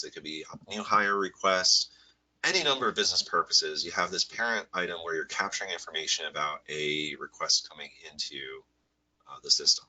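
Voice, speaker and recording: A man with a head cold and a slightly nasal voice, presenting a live software webinar in a relaxed, rambling way full of fillers. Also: very deep voice, husky, low-quality recording. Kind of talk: live webinar walkthrough with screen share.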